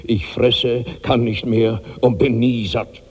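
An actor playing a gigantic, self-pitying rich glutton of 65 in theatrical, grandiose 1960s radio drama style, swinging between brooding and giddy. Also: male voice, mid-range voice, level tone, takes it slowly, a little mellow, slightly rough, dull audio. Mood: resigned, hungry. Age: older. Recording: reverberant 1960s radio studio recording.

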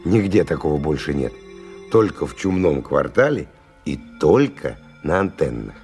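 A man's voice: very deep voice, very animated, normal speed, darkish tone, exaggerated voice. An actor playing a tough, cynical stalker who tells his story in a colloquial, sardonic radio-drama monologue with dramatic swings. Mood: wary, wry. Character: tough, cynical male stalker narrating his dangerous expedition. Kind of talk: 1990 radio drama first-person monologue. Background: music.

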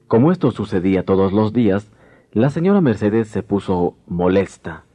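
A man's voice: on the low side, animated, normal speed, dark tone, husky. A man narrating a scripted tale dramatically as a radio drama storyteller. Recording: dull lo-fi 1990s radio recording, music behind.

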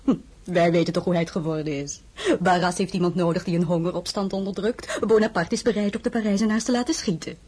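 Breathy, vain French empress. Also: female voice, lowish voice, steady tone, quick pace, darkish tone. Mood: shrewd, detached. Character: worldly French empress, mature, vain, coquettish and shrewd. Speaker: actress. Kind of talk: acted audio drama dialogue.

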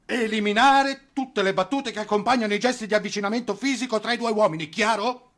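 A man's voice: high voice, fairly quick, a bit bright. An actor playing a scandalized, officious male censor on the telephone in a radio play, indignant and peremptory. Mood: stern, exasperated. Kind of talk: theatrical radio play acting.

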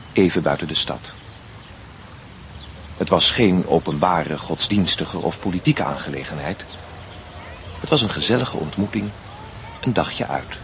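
A man with a low, deep voice narrates a radio play like a documentary. His delivery is measured and formal, over faint background noise. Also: a bit bright.

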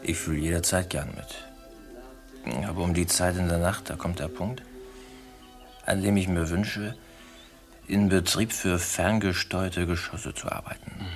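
Very deep, deadpan, weary barman. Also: measured pace, pauses often, soft background music. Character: dry, duty-weary barman, laconic and sympathetic. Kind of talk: radio drama acting.

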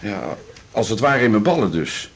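Male patient around fifty, lowish voice, hesitant, colloquial, anxious, faint noise, radio drama. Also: big pitch swings, moderate pace. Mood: embarrassed, anxious. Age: about fifty. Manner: hesitant, stammering, embarrassed, colloquial. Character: worried, plain-spoken male patient nearly fifty. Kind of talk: broadcast radio drama acting.

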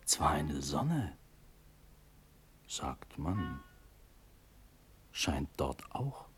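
A man's voice: very deep voice, big pitch swings, extremely slow, fairly bright tone, faint music behind, heavily acted. A man playing an imprisoned prince brooding darkly on death in a classical verse radio drama, reflective and declamatory. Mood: brooding, resigned.

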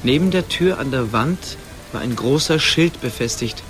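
A man's voice: mid-range voice, expressive, normal speed, fairly bright tone, faint music behind. A man narrating a scripted fantasy radio play as its storyteller. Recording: clear background ambience noise.

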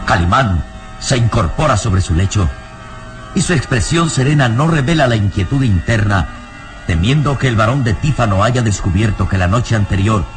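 A man narrating a vintage radio serial in a dramatic, scripted storytelling style. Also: on the low side. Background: music.

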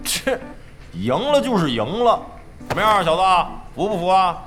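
A man's voice: sits high, very animated, normal speed, fairly bright tone. A man playing a brash, boastful veteran gamer in a radio drama, blustering, theatrical and crude. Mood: cocky, teasing. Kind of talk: Chinese radio drama acting. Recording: music behind the voice.

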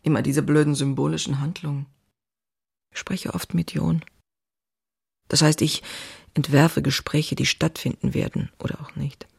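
An actress playing a passionate, anxious woman writer in her thirties, reading her diary in an intimate, confessional way with a husky, breathy voice. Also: sits low, flat delivery, moderate pace, pauses often, no echo, studio quality, full character voice. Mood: brooding, anxious. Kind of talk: dramatic literary diary reading.

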